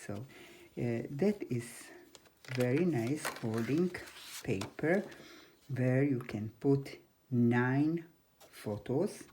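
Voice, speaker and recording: Very low, slow-speaking older woman. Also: expressive, mellow, slight background noise. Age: 70s. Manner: halting non-native English with simple grammar, chatty and unhurried. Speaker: crafting channel host. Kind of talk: scrapbook craft tutorial, showing an album.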